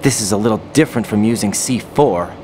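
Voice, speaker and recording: A man's voice: on the low side, a little fast, darkish tone, a little hiss or hum, close to an everyday voice. An actor playing a young male soldier, an unsure rookie who keeps asking questions uneasily. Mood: uneasy. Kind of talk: video game cutscene dialogue.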